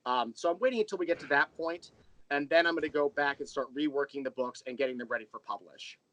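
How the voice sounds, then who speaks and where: Rambling, higher-pitched American man. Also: fast, fairly bright tone, poor audio. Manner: rambling, candid, self-deprecating. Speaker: interview guest, audio drama creator. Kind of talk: casual interview chat.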